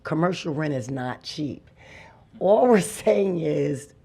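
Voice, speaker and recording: A speaker with a raspy voice giving a heartfelt public comment at a podium microphone in a meeting hall. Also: mid-range voice. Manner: slow, heartfelt, builds to emphatic.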